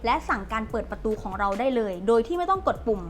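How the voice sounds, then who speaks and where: This is a young woman reviewing a gadget, her voice sitting a little on the high side. She talks in a polite, explanatory way and goes through each item step by step. Faint music plays quietly behind her. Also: big pitch swings, fast. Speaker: product reviewer. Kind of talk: unboxing product review. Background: faint background music.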